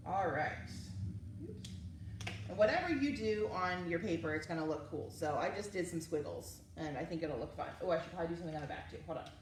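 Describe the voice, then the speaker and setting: A woman speaks to young children in an informal, encouraging way, explaining things step by step. Her intonation swings widely and keeps things animated. She is recorded some way from the microphone in an echoey room, with a faint layer of noise. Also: fairly low pitch, fairly quick. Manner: encouraging, informal, talking through each step. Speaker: children's librarian hosting story time and craft. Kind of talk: casual instructional talk to young children. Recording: roomy, distant mic with faint noise.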